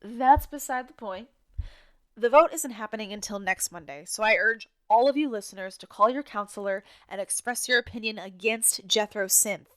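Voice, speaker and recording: A woman's voice: mid-range voice, moderate pace, a bit bright, natural voice. An actress playing an outspoken, indignant woman who co-hosts a town radio show in an audio drama, speaking with exasperated, sarcastic bite. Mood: indignant, rallying. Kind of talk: audio drama acting as conversational radio banter.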